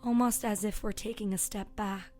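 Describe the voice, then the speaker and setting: A woman leading a guided meditation as a yoga teacher, speaking softly and soothingly with long pauses and a voice that can trail toward a breathy whisper. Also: mid-range voice, fairly even intonation. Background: soft meditation music with singing bowl tones.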